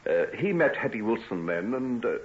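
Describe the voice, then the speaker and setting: An actor playing a guarded Victorian gentleman doctor in an old-time radio drama, with measured, hesitant answers. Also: medium pitch, big pitch swings, lightly acted. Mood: guarded, evasive. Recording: dull vintage radio recording.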